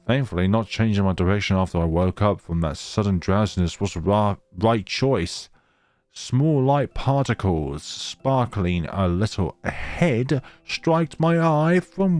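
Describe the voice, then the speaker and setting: Male let's player, lowish voice, highly expressive dramatic read with offhand commentary, slightly roomy sound over faint music. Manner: dramatic reading with casual asides. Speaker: gaming channel host. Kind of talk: let's play, reading visual novel text aloud with commentary.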